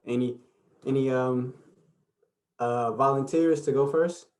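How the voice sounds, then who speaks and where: A man's voice: mid-range voice, steady tone, takes it slowly, darkish tone. A man in his twenties presenting an online workshop, talking in an easygoing way with many fillers over a video call. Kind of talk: relaxed workshop facilitation.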